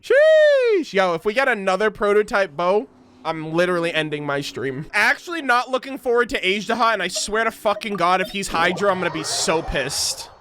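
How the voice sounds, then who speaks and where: A man streaming a video game, excitable, swearing and yelling during fights. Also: high-pitched, a little fast, slight background noise. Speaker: gaming streamer. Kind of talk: live game stream commentary. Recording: voice over loud game music.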